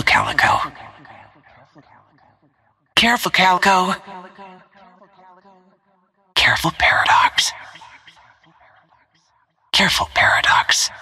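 An actress voicing a cool, stealthy female assassin in a video game, giving short, clipped callouts in a hushed, husky voice. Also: extremely low pitch, exaggerated voice. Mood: cautioning. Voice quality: breathy, husky, often whispered. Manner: clipped, terse, controlled.

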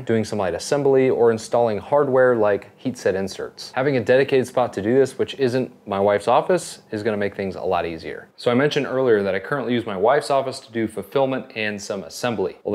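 A man in his thirties hosting a home workshop channel, explaining his projects in a brisk, upbeat, practical way on a lapel mic. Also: mid-range voice, darkish tone. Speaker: woodworking and 3D printing channel host. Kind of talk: casual shop vlog narration. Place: home basement and garage workshop.

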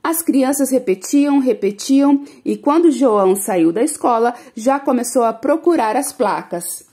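Woman reader, children's picture book, lively expressive, warm tone. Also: sits high, measured pace. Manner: gentle, expressive storytelling.

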